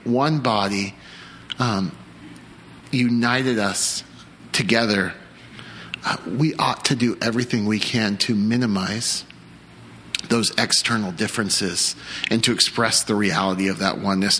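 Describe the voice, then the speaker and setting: A young man preaching an earnest church sermon, pausing and restarting as he works through his points. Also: on the low side, fairly bright tone, poor audio. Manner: earnest, with frequent restarts and pauses. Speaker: pastor.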